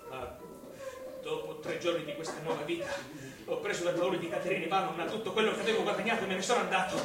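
Guy playing pathetic drunk dad in a radio play, overwrought pleading, really high voice, music behind. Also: fairly even intonation, fast, lightly acted. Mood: rambling, ashamed. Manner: pleading, rambling, overwrought, repeating himself. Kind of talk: theatrical radio drama monologue. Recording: very echoey, distant from the mic.

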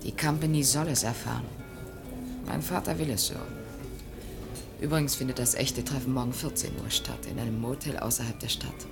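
A resolute young woman plotting in a radio drama. Her voice is deep for a woman, with a gravelly creak. She speaks briskly and with command, music clearly behind her. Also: fairly even intonation. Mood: conspiratorial, determined. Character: bossy, determined young Brazilian conspirator, daughter of an official. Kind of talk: German radio play acting. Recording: studio radio play with prominent music.

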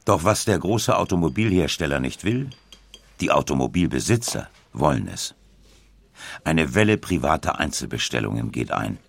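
A man reading a scripted documentary narration in a measured storytelling way with deliberate emphasis. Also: a bass voice, sing-song, vocal fry. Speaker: audiobook narrator.

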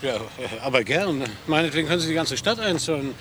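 An actor playing an earnest American radio reporter, polite and a little hesitant, stammering now and then. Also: male voice, on the high side, lively intonation, quick pace, a bit bright, a little hiss or hum. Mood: wry. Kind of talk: 1980s radio play acting.

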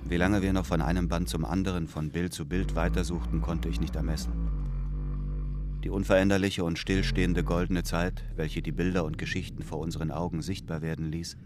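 Actor as a deep-voiced, wistful narrator. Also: male voice, level tone, music in the background, close to an everyday voice. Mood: wistful, reflective. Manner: measured, reflective first-person narration. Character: earnest male apprentice illustrator, wistful narrator. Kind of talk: literary radio drama narration.

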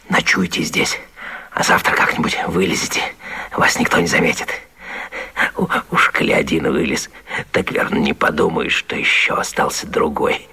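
Cynical young lover, gravelly, sharp forceful whisper, impatient, radio vaudeville. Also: male voice, sits low, full character voice. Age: young adult. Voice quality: rasping stage whisper. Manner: mocking, irritable, hissing. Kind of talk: classic radio comedy vaudeville acting.

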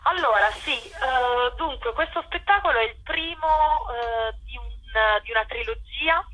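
A woman's voice: fairly high pitch, measured pace, pushed and bright, rough recording. A woman explaining her work spontaneously in an interview, in a discursive, self-correcting way. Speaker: theatre director, interview guest.

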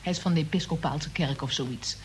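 A woman's voice: deep voice, a little fast, a little hiss or hum, close to an everyday voice. An actress in a Dutch radio play playing a frank, flighty young woman with a blunt, matter-of-fact manner.

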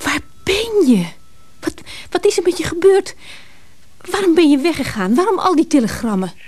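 A woman's voice: extremely high pitch, big pitch swings, normal speed, a little mellow, no echo. An actress playing an anxious, longing woman who speaks hesitantly and pleadingly in a radio drama. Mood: anxious, urgent. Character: anxious, longing woman clinging to her absent lover. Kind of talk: Dutch radio drama acting.

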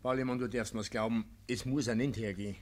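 Man acting sensible bavarian villager in a radio play, mid-pitched voice, trying to talk someone round, firm. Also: fairly even intonation, quick pace, a little mellow, lightly acted. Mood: placating. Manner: placating, firm. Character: sober, persuading village committeeman.

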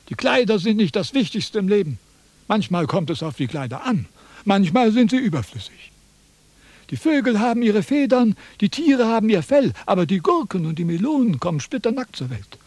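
An actor in a radio play playing a pompous, quarrelsome Chinese philosopher who lectures and declaims theatrically. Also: male voice, high voice, a little mellow, no echo, heavily acted. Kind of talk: German radio play acting.

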